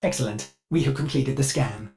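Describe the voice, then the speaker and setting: A man's voice: mid-range voice, moderate pace, gravelly, warm tone. A man voicing a fussy, eager ship artificial intelligence in a video game, polite and theatrical, with a manic edge under the courtesy. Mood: dutiful, reporting. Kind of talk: scripted video game character dialogue.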